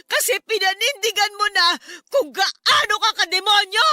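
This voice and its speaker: A woman's voice: very high voice, animated, moderate pace. A woman playing a fed-up, defiant wife and mother scolding her husband in a Filipino radio melodrama. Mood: anguished, furious. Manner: scolding, emphatic, emotionally heated.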